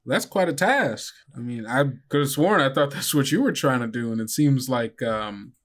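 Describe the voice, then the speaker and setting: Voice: mid-range voice, lively intonation, moderate pace, natural voice. A game master playing a friendly, folksy woman driver with a plain-spoken, teasing manner. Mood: wry, knowing.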